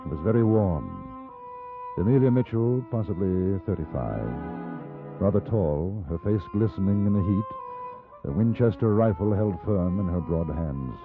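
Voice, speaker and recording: Calm english gentleman in an old 50s radio western, lowish voice, very proper and understated, muffled old recording. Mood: narrating, somber. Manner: measured, polite, understated. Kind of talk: 1950s radio drama acting and first-person narration. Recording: dull vintage 1950s radio recording, music behind.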